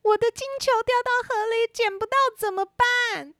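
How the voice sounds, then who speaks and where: A woman's voice: extremely high pitch, fairly even intonation, moderate pace, a bit bright, no room sound, clean studio sound, pitched above the performer's own voice. A woman voicing a spoiled, willful young princess with a petulant girlish manner in a children's audio drama. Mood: whiny, upset.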